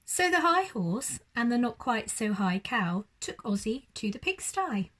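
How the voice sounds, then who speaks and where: Lady teacher reading a kids bedtime story, really slow, super expressive up n down voice. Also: on the high side. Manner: expressive, addressing young children. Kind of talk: children's storybook narration.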